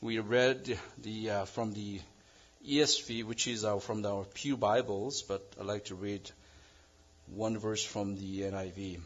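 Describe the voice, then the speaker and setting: A man from South Korea with a Korean accent, preaching a sermon as pastor in an earnest, measured way with halting restarts. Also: fairly low pitch, fairly even intonation, breathy edge. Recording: dull lo-fi sound.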